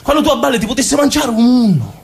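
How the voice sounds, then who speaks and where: A man's voice: very high-pitched, sing-song, quick pace, gravelly, exaggerated voice. A man acting a naive, passionate young Sicilian man in an intense, confessional stage monologue with a Sicilian accent. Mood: wistful recollection. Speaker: stage actor. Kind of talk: theatrical monologue in dialect.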